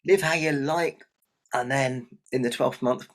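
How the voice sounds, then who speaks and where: A man's voice: medium pitch, unhurried, close to an everyday voice. A man voicing a commanding king and breezily threatening husband in a told folk tale. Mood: breezy threatening.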